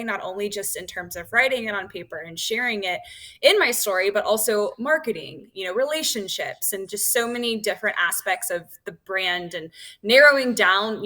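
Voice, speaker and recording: A woman's voice: medium pitch, bright, forceful tone, poor audio. A woman in her thirties, a podcast guest and author, talking candidly and enthusiastically with lots of fillers. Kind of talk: casual interview conversation.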